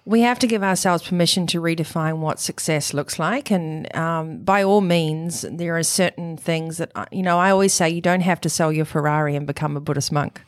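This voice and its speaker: A middle-aged woman with a New Zealand accent, a coach answering interview questions in an energetic, upbeat way. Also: low voice, normal speed, hardly any pauses, dry recording, clean studio sound. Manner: energetic, upbeat, full of catchphrases. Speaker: guest, performance coach and author. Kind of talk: enthusiastic interview talk.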